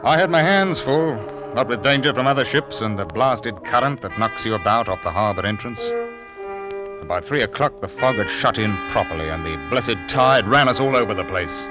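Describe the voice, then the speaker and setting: An actor playing a wary, gruff sea captain in an old-time radio drama, guarded and suspicious in manner. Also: male voice, mid-range voice, lively intonation, normal speed, close to an everyday voice. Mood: grave storytelling. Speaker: actor and narrator in a radio play. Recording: old radio recording with music behind.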